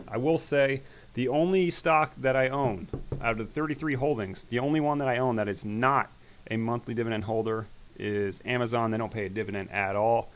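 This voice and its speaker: A man hosting an investing channel, talking casually through his stock portfolio in a rambling way, his voice growing raspy. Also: medium pitch, a little fast, slight background noise. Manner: relaxed, rambling, with fillers. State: voice getting raspy. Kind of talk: casual screen-share stock commentary.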